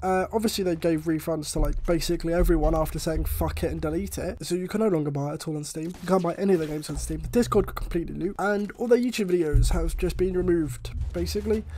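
Fast-ranting guy with a cold. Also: on the high side, dark tone, slight background noise. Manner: exasperated, swears often, rambling. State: has a cold, slightly chesty. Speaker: gaming YouTuber. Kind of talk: ranting gameplay commentary.

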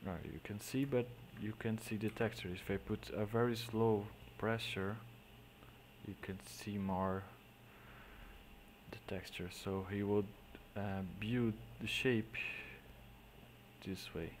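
A man with a Portuguese accent, a native Portuguese speaker, explaining digital painting step by step in halting English, often trailing off into quiet mutters as he works. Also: on the low side, fairly even intonation, slight background noise. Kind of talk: screen-recorded painting tutorial.

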